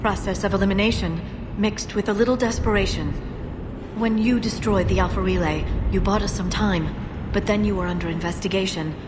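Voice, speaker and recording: A woman playing an earnest, soft-spoken alien scientist in a video game, measured and precise but full of self-doubt. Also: medium pitch, fairly even intonation, noisy background, close to an everyday voice. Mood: earnest, explaining. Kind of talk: dramatic video game voice acting.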